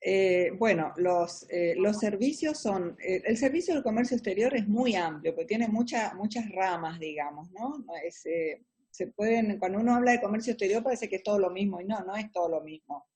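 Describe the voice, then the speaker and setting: A middle-aged Argentine woman with an Argentine Spanish accent, answering interview questions about her business in an explanatory way, often pausing on fillers. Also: medium pitch, darkish tone, husky. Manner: explanatory, hesitant with many fillers. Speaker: businesswoman guest.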